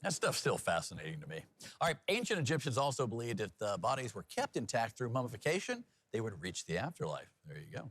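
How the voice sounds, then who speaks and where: A man's voice: mid-range voice, huge pitch swings, fast, a bit bright, breathy edge, dry recording. An American man anchoring TV news, with folksy, chatty asides between the scripted stories. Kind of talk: broadcast news with casual co-anchor banter.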